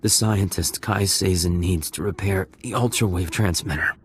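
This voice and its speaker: A man playing a badly wounded spy in a video game, faltering and halting with pain. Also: sits low, slight background noise, natural voice. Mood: halting, pleading.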